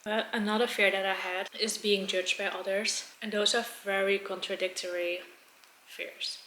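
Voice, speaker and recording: A woman's voice: mid-range voice, level tone, moderate pace, bright, forceful tone. Woman, 20s, casual vlog host, level delivery, roomy recording with faint noise. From Netherlands. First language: Dutch. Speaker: mukbang video host. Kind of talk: casual vlog chat while eating.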